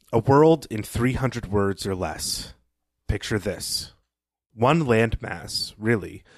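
A man co-hosting a book podcast, reading a passage aloud in a slow, measured way and talking about it casually. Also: on the low side, dry recording, clean studio sound. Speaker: podcast co-host.

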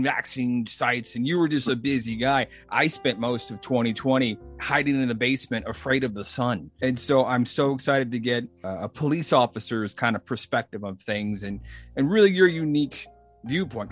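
A man's voice: mid-range voice, normal speed, faint music behind. Chatty man hosting a casual interview. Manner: chatty, rambling, laughs easily. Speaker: podcast host.

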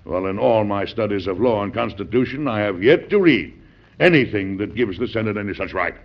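A stubborn, clear-voiced old-radio president. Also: male voice, lowish voice, expressive, fairly quick. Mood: combative. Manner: emphatic, oratorical. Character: stubborn, combative male U.S. president. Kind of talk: old-time radio drama acting. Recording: dull, lo-fi vintage radio recording.

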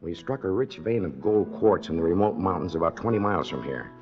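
An actor playing a wary, stern mine boss with an American accent, speaking forcefully in a 1940s radio drama. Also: male voice, deep voice, expressive, a little fast. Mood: wary, businesslike. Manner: forceful, cautioning. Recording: muffled 1940s radio recording, faint music.

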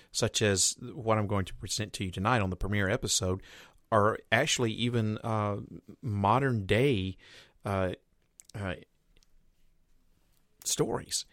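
A man's voice: on the low side, no room sound, studio quality. A man hosting his own podcast in a casual, rambling monologue with frequent fillers and restarts. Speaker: podcast host.

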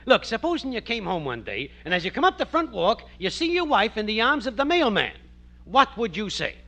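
High-pitched, animated know-it-all radio pal. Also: male voice, fairly quick, full character voice. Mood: exasperated, urging. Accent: American. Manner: wisecracking, emphatic, working-class slangy speech. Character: brash nosy male neighbor and pal, know-it-all schemer. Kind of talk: 1940s-50s radio comedy acting.

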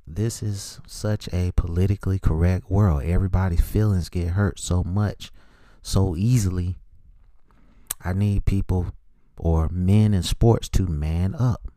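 A middle-aged man hosting a solo podcast, giving his sports opinions in an informal, measured, opinionated way. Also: sits low, fairly even intonation, warm tone, no echo. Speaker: podcast host.

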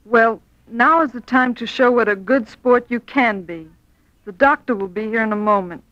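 A woman's voice: fairly high pitch, moderate pace, no echo, close to an everyday voice. A woman playing an earnest, kindly hospital nurse in a 1940s radio drama. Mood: grave, gentle. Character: grave, kindly hospital nurse delivering hard news. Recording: muffled vintage radio recording.

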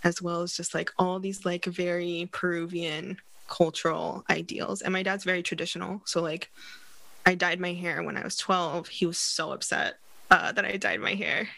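A woman's voice: fairly low pitch, level tone, moderate pace, vocal fry, sharp tone, no room sound. A woman being interviewed, talking candidly and reflectively about herself in a casual way, often leaning on "like". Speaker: interview guest. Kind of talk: candid personal conversation.

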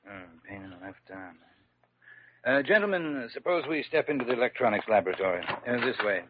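A man playing a middle-aged quack doctor who flatters his patients like a salesman, in a 1940s radio drama. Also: mid-range voice, a little fast, a little hiss or hum, lightly acted. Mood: oily. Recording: dull old radio broadcast.

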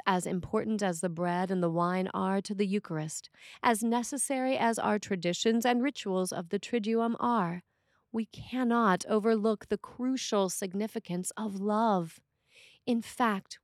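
A woman reads a prepared sermon as a priest would. Her phrasing is deliberate and measured, at an average pitch for a woman. The sound is dry, with almost no room in it. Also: no room sound. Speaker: priest reading a written sermon. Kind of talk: scripted sermon reading.

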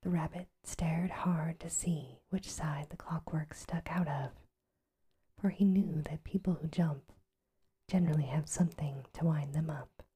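A woman reading a bedtime story aloud in a soft, even ASMR whisper. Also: low-pitched, a little slow, slightly rough.

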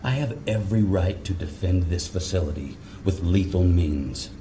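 Gruff, territorial corporal, played by a man: very low, dark timbre, commanding, audio drama with faint ambient noise. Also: moderate pace. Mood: stern. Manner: commanding, profane, stern. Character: gruff, territorial male National Guard corporal.

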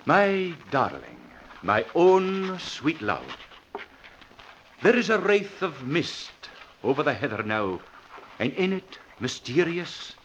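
Muffled, hissy old radio sound carries a Scottish village schoolmaster with a widely swinging delivery. He is pompous and smooth-talking, wooing in flowery, theatrical poetry. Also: fairly high pitch, wildly swooping pitch, slow, fairly bright tone, full character voice. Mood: amorous, poetic. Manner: florid, poetic, theatrical wooing. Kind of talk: old-time radio drama acting. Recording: muffled old radio recording, hiss, clicks.

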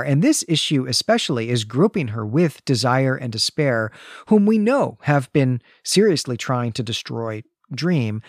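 Guy podcast host explaining a story, really lively pitch, dry recording. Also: dry recording. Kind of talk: recapping and discussing a comic story.

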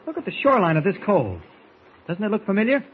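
A man's voice: wildly swooping pitch, fast. An actor playing a heroic, protective man in a 1940s radio serial, with a dramatic delivery. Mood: light, reassuring. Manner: dramatic, shifts between deep hero voice and lighter everyday voice. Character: heroic adult man in two guises, caring, protective. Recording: muffled, noisy old radio recording.

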